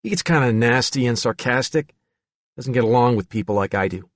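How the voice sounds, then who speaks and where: A man's voice: mid-range voice, lively intonation, a little fast, no echo, lightly acted. A man reading an audiobook, voicing a talkative young man with a casual, friendly manner. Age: young adult.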